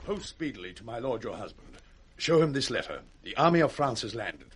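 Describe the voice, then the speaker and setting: A vengeful British duke with a hard, bright, rough-edged voice. He swings widely in pitch as he hands down imperious orders in classical radio-drama style. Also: big pitch swings, fairly quick. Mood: cold, vengeful. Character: cruel, commanding, vengeful duke. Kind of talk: 1960s Shakespearean radio acting.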